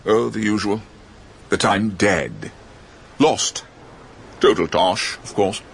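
An actor playing a fussy, oblivious old-fashioned English ghost gentleman in a radio drama, with a British accent and polite chummy manner. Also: lowish voice, lively intonation, slow pace, vocal fry, slight background noise, heavily acted. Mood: breezy, oblivious. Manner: polite, dated upper-class chumminess.

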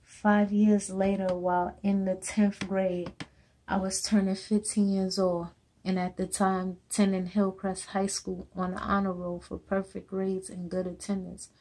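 Young Jamaican woman, slow halting reading. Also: medium pitch, flat delivery, a little mellow, a little hiss or hum, poor audio. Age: young adult. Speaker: student. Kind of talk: reading a personal essay to camera. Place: small indoor room at home. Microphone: webcam or laptop camera close up.

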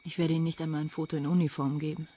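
A woman's voice: sits low, level tone, racing, warm tone, husky. Dry, sardonic policewoman. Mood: sardonic, cool. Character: cool, quick-witted female police detective. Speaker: radio drama actor. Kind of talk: German crime radio play acting.

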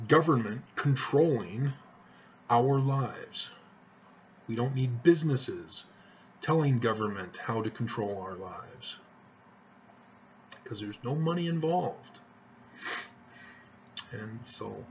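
A middle-aged man talks slowly and earnestly to his webcam from a small living room, rambling along with restarts. The sound is dull and lo-fi, with a faint hiss underneath. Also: medium pitch. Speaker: vlogger. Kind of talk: casual talk to camera. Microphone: webcam at desk distance.

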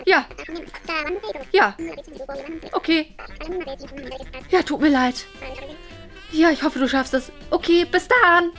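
A fussy, determined mother played by a woman with a high voice, in a family web drama. She sounds fretful and insistent, with light music behind her. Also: takes it slowly, long pauses, a bit bright. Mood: concerned.

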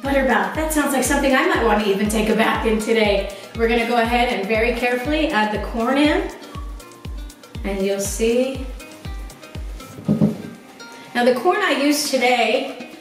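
A woman in her forties demonstrates a recipe at her kitchen counter, walking viewers through each step in a bright, chatty way. Her voice sits a little high. The kitchen rings with heavy echo, and she sounds a bit off the mic, with faint music underneath. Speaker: cooking show host. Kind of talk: cooking demonstration to camera. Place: home kitchen, indoors. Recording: very echoey kitchen, voice a bit distant.

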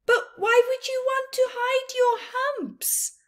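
A woman puts on a little female storybook mouse with a raised, high voice. She is curious and kind, speaking with earnest emphasis. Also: level tone, takes it slowly, a bit bright, heavily acted. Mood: puzzled.

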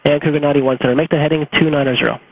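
A man's voice: fairly high pitch, steady tone, very fast, gravelly. A man working as an air traffic controller, giving instructions over aviation radio. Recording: faintly noisy air traffic radio recording.

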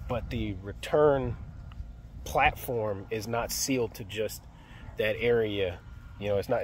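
A man's voice: fairly low pitch, a little mellow, a little hiss or hum. A young man working as an HVAC technician, talking through a service call in a casual vlog, thinking aloud with many fillers and restarts. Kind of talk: casual work vlog narration. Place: outdoors by an AC unit and indoors in a closet. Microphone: phone camera held close.

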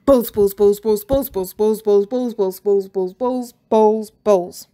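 A woman doing a ball-crazed shouting male teacher. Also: medium pitch, steady tone, slow, natural voice. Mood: obsessive. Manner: frantic bellowing, obsessive chanting.

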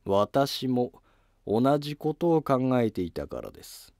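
Man playing a formal, measured elderly court minister. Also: medium pitch, sing-song, vocal fry, a little mellow, no echo, clean studio sound. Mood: grave, resigned. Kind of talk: historical drama dialogue.

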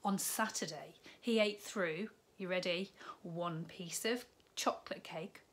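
A woman, a primary school teacher reading a picture book aloud to children from her living room. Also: lowish voice. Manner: slow, expressive storytime reading with lively rises on key words. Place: living room at home, indoors.

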